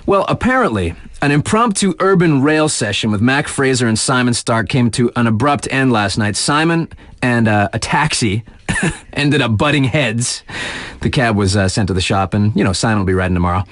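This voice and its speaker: A male actor's take on a laid-back sports radio DJ for a snowboarding game. He has a mid-pitched male voice that moves around a lot, in a dry studio sound. His delivery is chatty and slangy, slipping from announcer patter into casual, halting asides. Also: lively intonation, a bit bright, no room sound, lightly acted. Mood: musing, amused.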